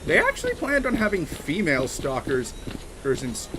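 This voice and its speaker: A man chatting casually on a game livestream, talking in a halting, rambling way with many restarts and fillers. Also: on the high side, wildly swooping pitch, background noise. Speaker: streamer. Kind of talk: casual livestream chat while gaming.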